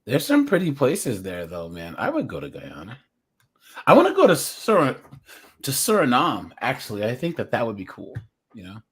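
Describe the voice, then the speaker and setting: A man's voice: mid-range voice, huge pitch swings, normal speed, a bit bright, husky. A man hosting a casual livestream reaction, chatty and rambling as he laughs along. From United States.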